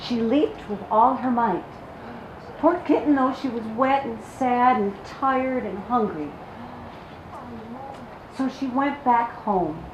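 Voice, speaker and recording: A woman telling stories to young children in an animated, sympathetic read-aloud style. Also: fairly high pitch, slow pace, long pauses, muffled recording. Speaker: children's storyteller. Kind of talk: expressive picture-book read-aloud. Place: public library story time room. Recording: echoey room with clear background noise.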